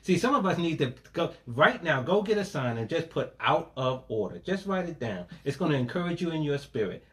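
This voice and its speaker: A man's voice: on the high side, big pitch swings, a little fast, few pauses. A man preaching a sermon, calling for amens and mixing scripture with folksy everyday stories. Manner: preaching with call-and-response, folksy asides, repeated "amen". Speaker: pastor.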